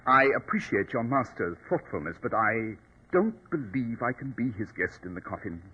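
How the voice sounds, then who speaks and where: An actor in a 1940s radio drama playing a coolly superior, sardonic detective with a clipped, precise, imperious delivery. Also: male voice, fairly low pitch, expressive, moderate pace, pushed and bright, breathy edge, full character voice. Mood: cool, analytical. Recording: dull, lo-fi old radio recording.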